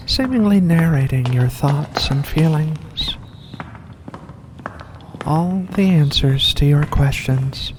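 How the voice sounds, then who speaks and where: A performer voicing a mysterious, seductive vampire in a slow, deliberate ASMR roleplay, courteous and teasing. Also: medium pitch, darkish tone, natural voice, low-quality recording. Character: seductive mysterious vampire host luring in a guest. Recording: faint background noise.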